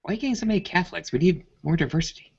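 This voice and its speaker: A man's voice: high voice, racing, creaky, slightly rough. A man chatting casually as a participant in a group online call, with dull, lo-fi sound. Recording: dull lo-fi internet call audio.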